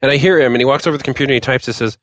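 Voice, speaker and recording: A man's voice: mid-range voice, very fast, no echo. A man on a podcast panel chatting casually about games in a wry, grumbling way, on slightly dull audio. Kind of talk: casual gaming chat.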